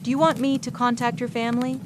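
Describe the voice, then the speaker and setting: A woman's voice: fairly high pitch, flat delivery, fast talker, lightly acted. Woman as old male cop, stern, weary, grave instructor, storybook dialogue, noticeable noise behind. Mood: serious. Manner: grave, instructing, clipped warnings.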